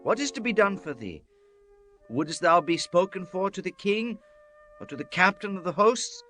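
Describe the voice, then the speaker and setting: An actor playing a commanding biblical prophet in a dramatized scripture audiobook, solemn and declamatory. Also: male voice, high-pitched, expressive, moderate pace, bright, forceful tone, soft background music. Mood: solemn, inquiring.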